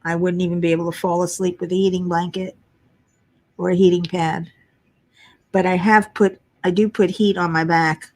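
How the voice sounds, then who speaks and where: A middle-aged woman with a low voice, tired from lack of sleep, chatting casually to viewers as host of a crafting livestream. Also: flat delivery, normal speed, a little mellow. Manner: chatty, muttering while searching for pieces. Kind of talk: casual live chat while diamond painting.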